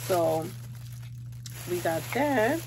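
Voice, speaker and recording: A woman's voice: medium pitch, lively intonation, drawn-out words, background noise. A congested woman chatting casually to viewers in a rambling haul vlog, thinking aloud as she goes. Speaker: home decor haul vlogger.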